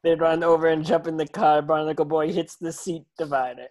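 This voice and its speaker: A man's voice: fairly high pitch, flat delivery, a little fast, darkish tone. A man reading stage directions as the narrator of a table reading, occasionally stumbling over the script.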